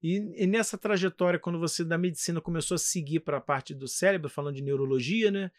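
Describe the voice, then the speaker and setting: Man, podcast host, high pitch, fast, upbeat casual questions. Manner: quick, upbeat prompting with short acknowledgements.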